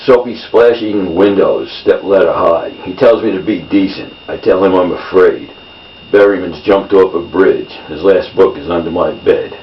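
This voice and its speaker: A man reading his own poems in a measured delivery, in short phrases. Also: deep voice. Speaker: poet. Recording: roomy, distant mic, dull sound, faint noise.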